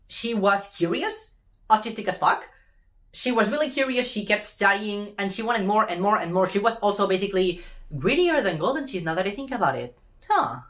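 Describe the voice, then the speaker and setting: A woman in a casual, rambling rant, retelling a story with sarcastic, sweary exclamations. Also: medium pitch.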